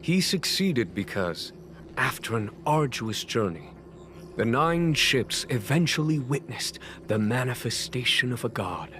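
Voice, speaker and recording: An actor playing a calm, wry military general in a video game, speaking in a measured, formal and courteous way. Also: male voice, mid-range voice, a little hiss or hum. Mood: solemn storytelling. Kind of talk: scripted video game dialogue. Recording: background music under the voice.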